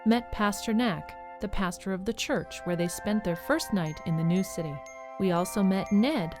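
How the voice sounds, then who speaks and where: Narrator, female: scripted storybook delivery, medium pitch, clear music bed behind.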